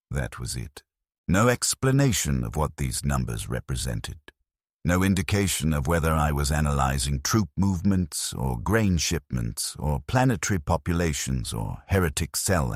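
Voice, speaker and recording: A man narrating a scripted first-person story in a grave, measured way. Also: rumbling low, husky, no echo, studio quality.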